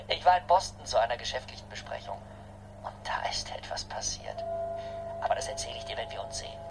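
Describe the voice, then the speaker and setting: An actor playing an eager, affectionate boyfriend leaving a tender, breathy phone message in a radio play. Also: male voice, medium pitch, lively intonation, brisk, in a whisper, low-quality recording. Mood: excited, tender. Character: eager, affectionate boyfriend Donald calling from airport.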